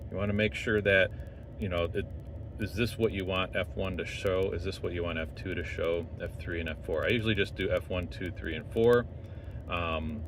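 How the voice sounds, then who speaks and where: A man's voice: deep voice, fairly bright tone. A man giving a casual, unscripted tutorial, thinking aloud as he explains things informally step by step. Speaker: amateur radio operator demonstrating contest setup. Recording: noisy vehicle interior, clear background noise.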